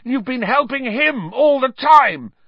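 An angry teenage wizard boy, played by a male narrator, shouting indignantly in a storybook reading. His voice is pushed high and swings sharply, with slightly dull sound. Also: lively intonation, slow pace, no echo, exaggerated voice. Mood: furious, betrayed. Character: furious, distrustful teenage boy wizard, loud and wavering.

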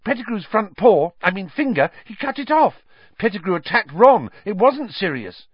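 A man's voice: very high-pitched, animated, moderate pace, no echo, highs cut off, voice pushed up. A man voicing an earnest thirteen-year-old schoolgirl, pleading urgently in an audiobook story.

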